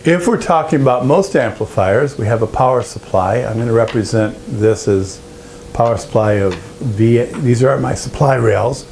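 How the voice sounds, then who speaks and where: A man presenting an informal technical lesson, explaining step by step in a halting, explanatory way. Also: medium pitch, a little mellow, slight background noise. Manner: explanatory, halting, with frequent throat clearing. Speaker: presenter of an audio engineering lesson.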